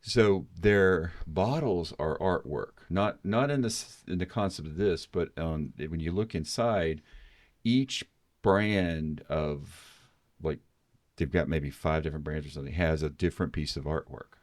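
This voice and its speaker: Deep-voiced man hosting a podcast. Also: a little slow. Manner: enthusiastic, rambling recommendations. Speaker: podcast host. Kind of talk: casual podcast conversation.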